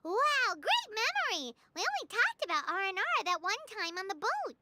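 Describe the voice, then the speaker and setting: A woman's voice: very high voice, big pitch swings, moderate pace, heavy background noise. A woman voicing a small, cheerful, childlike fairy companion in a video game, with an exclaiming manner. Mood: chirpy, expressive. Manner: childlike, refers to self in third person, exclaiming. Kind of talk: video game character acting. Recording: background music throughout.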